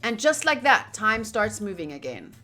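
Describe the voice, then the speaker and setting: A woman's voice: mid-range voice, moderate pace. A woman streamer reading visual novel text aloud with casual, playful commentary. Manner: animated, playful, exclaiming. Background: game music.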